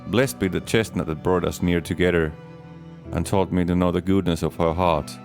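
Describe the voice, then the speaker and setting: A man giving a measured, deadpan first-person reading of a comic diary, speaking as its writer. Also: sits low, faint music behind. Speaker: audiobook reader. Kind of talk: dramatic reading of a diary as Adam.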